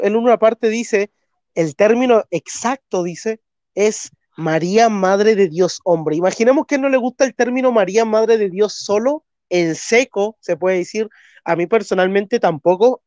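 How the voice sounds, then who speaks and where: A man's voice: high voice, darkish tone, dry recording, highs cut off. Congested Chilean man, earnest and insistent. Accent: Chilean Spanish. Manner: earnest, insistent, repeats phrases. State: congested, clearing throat. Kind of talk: live stream debate talk.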